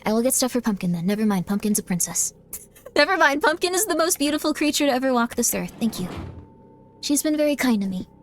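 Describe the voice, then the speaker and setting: A woman live-streaming a game, chatting in a bubbly way and often thanking her viewers. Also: a little high. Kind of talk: casual live-stream chat while gaming.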